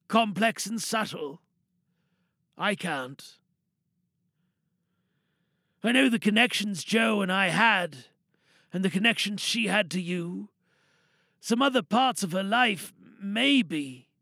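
Slow, creaky, remorseful man acted. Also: sits high, bright, forceful tone, no room sound, studio quality, heavily acted. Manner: halting, reflective, plain-spoken. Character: remorseful man confessing to having taken a woman's life. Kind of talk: dramatic audio monologue.

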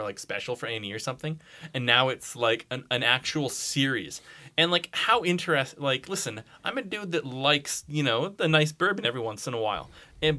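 A man with a bright, forward voice talks casually as a podcast guest. He is emphatic and opinionated, rambling through stories and swearing freely. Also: mid-range voice. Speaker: podcast guest, comics blogger.

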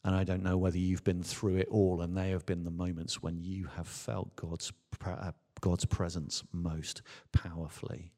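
A man, a Baptist minister, preaching a sermon in an earnest, emphatic way with rhetorical questions and deliberate repetition. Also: sits low, flat delivery, warm tone. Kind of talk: sermon to a congregation. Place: large church or hall, speaking from a stage. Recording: reverberant hall with long echo.

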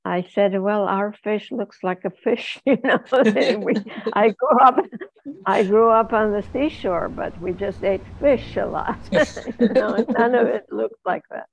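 Slow, gravelly older woman from Turkey. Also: medium pitch, no room sound, highs cut off. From Izmir, Turkey. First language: Ladino. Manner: unhurried, laughs often while telling stories. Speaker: guest. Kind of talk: remote interview, personal story.

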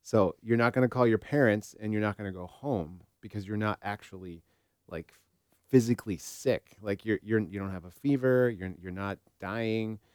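American guy chatting on a podcast, lowish clean smooth voice, dry close sound, opinionated. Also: normal speed, a little mellow, dry recording. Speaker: podcast co-host and therapist. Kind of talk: casual podcast discussion.

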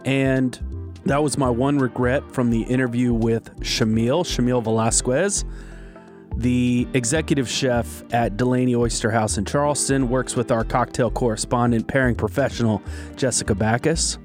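A man's voice: medium pitch, fairly even intonation, normal speed, faint music behind. A man hosting a podcast, chatting in an upbeat, casual way as he introduces the episode and his guest. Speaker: podcast host.

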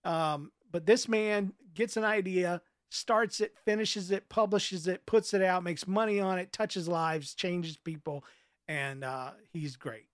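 Guy being interviewed as an author, kinda high voice, dry sound, thoughtful and earnest, stop-and-start talking. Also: steady tone, a little slow, no room sound. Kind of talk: casual interview answers.

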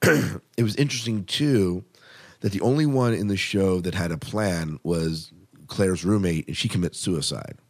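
A man's voice: low-pitched, unhurried, darkish tone. A man hosting a podcast in casual, conversational chat about shows, often putting questions to his co-host.

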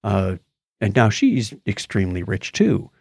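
A man's voice: lowish voice, sing-song, unhurried, gravelly, a little mellow, slightly rough, no room sound, studio quality. A man co-hosting a podcast, casually retelling a story in a conversational way with asides. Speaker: podcast co-host. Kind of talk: casual chat, recounting a documentary.

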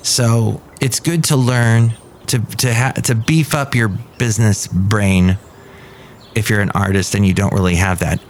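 A man's voice: lowish voice, steady tone, a little slow, slight background noise. Chatty middle-aged man rambling in a café. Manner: chatty, self-interrupting, drifts off topic. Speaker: podcast host. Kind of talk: rambling casual monologue.

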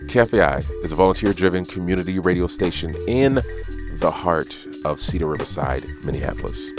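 A man hosting a community radio podcast, reading scripted narration in a measured, announcer-like way. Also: sits low, animated, music in the background. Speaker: podcast host.

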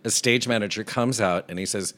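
A man with a gentle, somewhat low voice that doesn't carry far. He co-hosts a relaxed talk show and spins a story with plenty of animation, slipping into little impressions of the characters he describes. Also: a little fast. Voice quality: soft voice. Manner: animated storyteller, mimics people in anecdotes. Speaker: podcast co-host. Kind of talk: casual storytelling chat.

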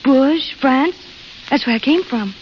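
An actress playing a blunt, restless young American desert waitress with wistful dreams, plain-spoken in an old radio drama style. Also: female voice, high-pitched, expressive, a little slow. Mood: wistful, confiding. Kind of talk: 1930s-40s radio drama acting. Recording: muffled, hissy vintage radio recording.

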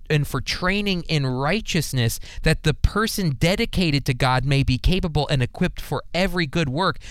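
A man teaches scripture in an earnest, emphatic way. His voice sits a little higher than average and is smooth and clean. The sound is dry and close, with points driven home firmly. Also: fairly bright tone, dry recording. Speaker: host and Bible teacher. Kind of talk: radio Bible teaching, sermon commentary.

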